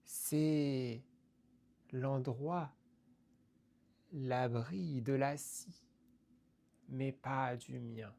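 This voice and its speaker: A woman recites verse with a creaky, mellow, low voice. Her delivery is theatrical and deliberate, broken into short phrases that can sink toward a whisper. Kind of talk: slow theatrical poetry recitation.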